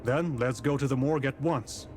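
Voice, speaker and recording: An actor playing a composed, authoritative nineteenth-century detective with a polite, formal, measured way of talking in a visual novel. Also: male voice, medium pitch, a little mellow, slight background noise, close to an everyday voice. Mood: businesslike, probing. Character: composed, courteous, authoritative 19th-century male detective from the capital. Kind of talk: scripted visual novel voice acting.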